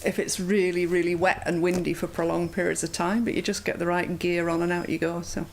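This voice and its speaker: A woman in her fifties with a soft Yorkshire accent, chatting as an interview guest, with plenty of ums and uhs. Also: fairly low pitch, level tone, brisk, a little hiss or hum. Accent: mild Yorkshire. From Yorkshire, England. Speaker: guest, yoga teacher. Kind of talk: casual interview chat.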